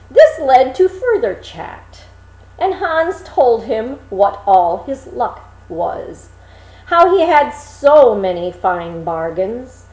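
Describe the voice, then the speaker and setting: A woman reading a fairy tale aloud as an expressive storyteller, with an echoey room sound. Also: fairly high pitch. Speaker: storyteller, reader.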